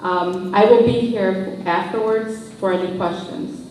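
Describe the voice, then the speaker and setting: A woman's voice: medium pitch, steady tone, a little slow, a little mellow. A woman presenting a housing program, giving matter-of-fact, precise answers to questions at a public meeting. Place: village board meeting room. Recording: echoey noisy hall, picked up from far off.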